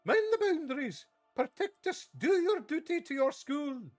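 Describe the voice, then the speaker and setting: Male narrator putting on imperious elderly witch, high voice, clipped commands. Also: big pitch swings, fairly quick, soft background music, full character voice. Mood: commanding. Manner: clipped, imperious, shouting orders. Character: stern elderly female witch professor, commanding.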